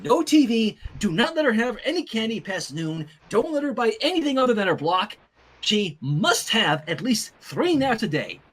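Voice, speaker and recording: Man acting as female pony princess, high-pitched, fussy, bossy, anxious, husky, cartoon fan fiction. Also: sing-song, moderate pace, heavily acted. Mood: fussy, stern. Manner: exasperated, bossy, over-careful instructions. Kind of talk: fan fiction character reading.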